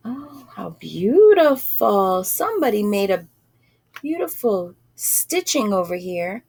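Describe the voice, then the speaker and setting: A woman reading a picture book aloud in an expressive, animated way, with casual asides and drawn-out phrasing. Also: medium pitch, breathy edge. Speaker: storybook reader.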